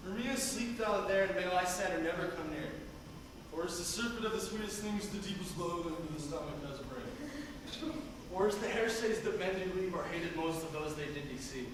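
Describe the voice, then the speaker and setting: An actor plays a lovestruck young suitor who declaims his verse in a fairly high voice. He is heard from far back in a long-echoing hall, with clear background noise. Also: lively intonation, normal speed. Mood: ardent. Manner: ardent, declamatory verse speaking. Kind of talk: stage acting of verse. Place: theatre stage.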